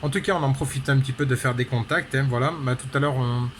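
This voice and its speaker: Male CB operator, mid-pitched, casual and rambling, repetitive verbal tags, light background noise. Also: steady tone, fast talker. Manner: rambling, full of repeated tag words. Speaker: amateur CB radio operator. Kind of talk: casual radio contact chat.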